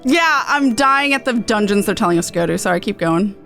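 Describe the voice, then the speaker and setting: A woman's voice: mid-range voice, animated, brisk, creaky, a bit bright. A woman streaming a game, chatting casually in a blunt, playful, mildly sweary way. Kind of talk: casual gaming stream chat. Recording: game music behind the voice.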